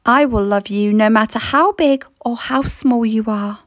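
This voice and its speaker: Woman voicing a gentle, high-voiced storybook mum. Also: measured pace, dry recording, studio quality, natural voice. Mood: tender. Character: Ellie's gentle, loving, firm mum.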